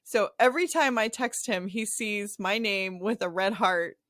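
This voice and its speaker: A middle-aged woman hosting an interview podcast, telling stories in a chatty, animated way with playful asides. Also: mid-range voice, measured pace, no echo, studio quality. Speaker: podcast host. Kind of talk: conversational interview.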